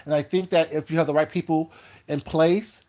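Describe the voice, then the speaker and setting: A man with a fairly high voice chats loosely on his livestream and greets viewers by name as he goes. He repeats words while he thinks aloud. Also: steady tone, normal speed, warm tone. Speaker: YouTube livestream host. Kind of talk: casual livestream chat reading comments.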